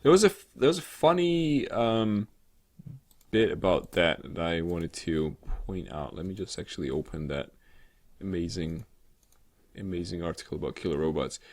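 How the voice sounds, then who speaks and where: A man's voice: deep voice, lively intonation, moderate pace. A man co-hosting a show in casual comedic chat, talking in a hesitant, rambling way full of filler sounds. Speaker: co-host of a weekly show. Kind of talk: casual comedic chat about tech news.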